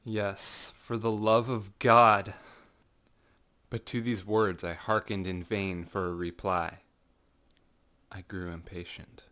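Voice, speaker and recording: Man acting a low-voiced, cold, vengeful gentleman storyteller. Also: flat delivery. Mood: taunting, then cold, grim. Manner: theatrical, deliberate, swelling into sudden outbursts. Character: cold, vengeful gentleman narrator recounting his murder. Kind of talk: dramatic gothic audiobook narration.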